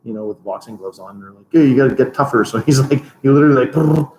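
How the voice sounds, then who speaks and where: A man's voice: mid-range voice, fast, a little mellow, a little hiss or hum, poor audio. A man co-hosting a martial arts gym podcast, chatting casually and laughing often. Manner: laughs often, loose rambling talk. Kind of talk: casual chat.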